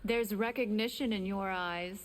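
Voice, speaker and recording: A woman's voice: medium pitch, unhurried, close to an everyday voice. A woman voicing a predatory, seductive vampire in a video game, teasing her prey with menace. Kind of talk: video game character acting. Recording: loud noise behind the voice.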